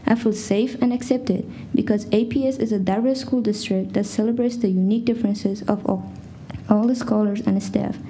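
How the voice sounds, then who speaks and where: A teenage girl whose first language is Farsi reads a prepared statement at a school board meeting. Her tone is very dark and mellow, and her delivery is careful and steady. Faint noise sits behind her. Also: medium pitch, moderate pace, few pauses. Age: teens. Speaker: student speaker.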